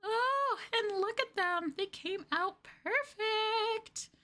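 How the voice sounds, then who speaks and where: A woman voicing a sweetly possessive, obsessive young girlfriend in an ASMR roleplay, coaxing and cooing between soft speech and whispers. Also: very high voice, takes it slowly, voice pushed up, exaggerated voice. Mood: gushing, possessive. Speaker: ENVtuber streamer performing ASMR roleplay.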